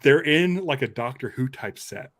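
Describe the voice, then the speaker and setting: A man's voice: medium pitch, lively intonation, normal speed, fairly bright tone, dry recording. Male podcast host, middle-register voice, loose conversational delivery. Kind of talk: casual chat.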